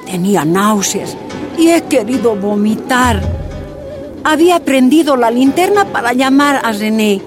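A woman's voice: on the high side, animated, unhurried. An actress with a Bolivian accent playing a weary, resolute miner's wife telling her story in a radio drama. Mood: pained, weary. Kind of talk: dramatized first-person radio narration. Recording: music behind the voice.

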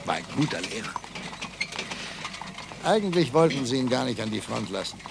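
A kindly country cart driver in a radio play, with a gravelly, very animated delivery. He chats easily and calls to his horse amid loud outdoor noise. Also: medium pitch, normal speed. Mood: reflective, kindly. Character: friendly rural cart driver, an uncle to children. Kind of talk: radio drama acting. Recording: noisy outdoor ambience of a cart ride.

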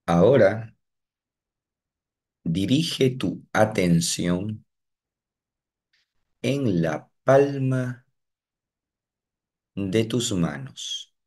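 Slow, deep-voiced meditation guide man. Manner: slow, calm instructions with long pauses.

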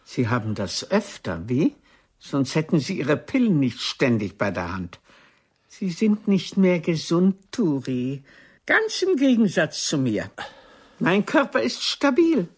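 An older actress playing a proud, wounded theatre diva in a German radio drama, with a haughty, theatrical and cutting delivery. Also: female voice, deep voice, very animated, a little slow, darkish tone, slightly rough, exaggerated voice. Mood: haughty, boastful.

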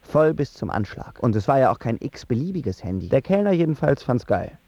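Wry, mellow, creaky young man. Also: mid-range voice, very animated, fairly quick, dry recording, lo-fi sound. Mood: wry, worked up. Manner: slangy, wry, self-pitying. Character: heartbroken sulky young man, wry storyteller. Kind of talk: radio drama narration, colloquial and confessional.

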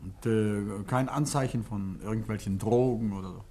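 An actor playing an urbane, affable gentleman detective in a 1950s German radio play, informal and inquisitive. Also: fairly low pitch, normal speed, natural voice. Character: urbane gentleman sleuth, curious, affable, quick-witted.

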